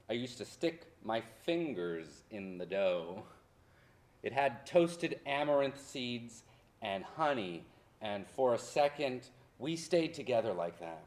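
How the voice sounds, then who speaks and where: An actor in a staged reading, playing a wounded history professor who relives his childhood loss in reflective, deliberate storytelling. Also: on the low side. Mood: wistful. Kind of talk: dramatic monologue in a staged reading.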